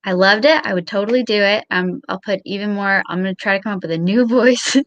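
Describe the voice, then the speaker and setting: A woman's voice: mid-range voice, fast talker, fairly bright tone, dry recording, lo-fi sound. A young woman chatting casually as an interview guest, with a modest, somewhat hesitant way of talking. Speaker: guest on a show.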